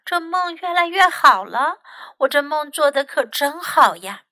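A woman voicing a small, excitable storybook animal, indignant and loud, with emphatic, drawn-out delivery. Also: sits high, no echo, clean studio sound, full character voice. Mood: delighted.

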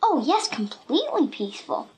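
Lady doing a cheeky little storybook girl, high lively voice, breezy and mock innocent. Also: moderate pace. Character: plucky, cheeky little red-headed girl, unafraid of bullies.

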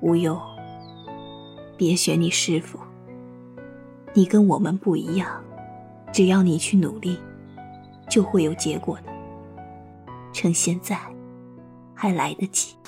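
Low, mellow, kindly elder woman. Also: fairly even intonation, slow, pauses often, soft background music. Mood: gentle, earnest. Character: grave, kindly elder woman counselling a young one. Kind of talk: measured costume audio drama acting.

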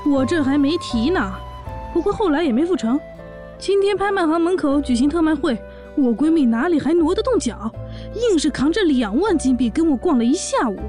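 Woman as young orc wife, high-pitched, excitable, admiring, narrative audio drama delivery, music underneath. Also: brisk. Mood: chatty, coaxing.

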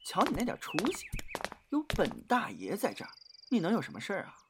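A woman's voice: mid-range voice, huge pitch swings, brisk. A woman playing a cocky, bumbling creature in a Chinese audio drama, by turns swaggering and hesitant. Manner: swaggering, hesitant when questioned. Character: cocky, bumbling creature nicknamed Lord Dog. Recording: clear noise behind the voice.

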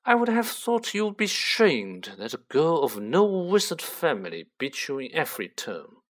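A man's voice: on the high side, very animated, unhurried, pitched above the performer's own voice. A man voicing a haughty, cold aristocratic wizard father who speaks with curt, condescending disdain in a storybook reading.

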